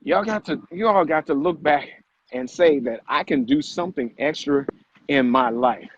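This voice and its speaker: Emphatic higher-voiced man giving motivational talk. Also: moderate pace, breathy edge, no room sound. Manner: emphatic, repetitive, rhetorical questions. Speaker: vlog co-host and fitness motivator. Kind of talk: motivational talk on a live stream. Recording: muffled audio with background noise.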